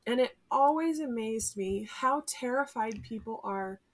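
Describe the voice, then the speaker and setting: A woman's voice: fairly high pitch, measured pace. A woman who teaches music, hosting a podcast in a friendly, conversational way. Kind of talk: podcast interview.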